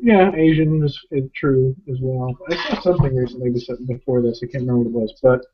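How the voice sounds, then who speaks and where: A man's voice: mid-range voice, brisk, background noise. An American man from upstate New York co-hosting a movie review in a casual, conversational way. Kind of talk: casual film discussion. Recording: dull lo-fi recording.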